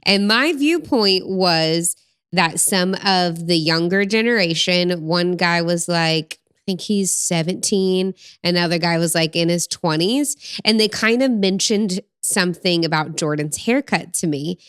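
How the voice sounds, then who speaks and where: A woman's voice: lowish voice, unhurried, a bit bright, clear, resonant tone, no room sound, studio quality. A woman in her thirties co-hosting a podcast, talking in an upbeat, chatty way and laughing easily. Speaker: podcast co-host. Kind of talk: casual chat.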